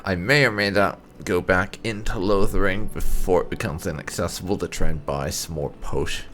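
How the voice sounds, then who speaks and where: A man hosting a let's play, giving commentary in halting, drawn-out phrases. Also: low-pitched, fairly bright tone, faint music behind. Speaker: gaming channel host.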